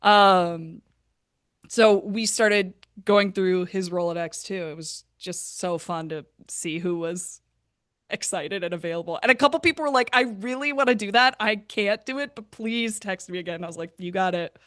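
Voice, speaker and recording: Female interview guest, actor-producer type. Mid-range voice, dry recording, casual storyteller who voices quoted remarks in an animated way. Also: mid-range voice, normal speed, no room sound.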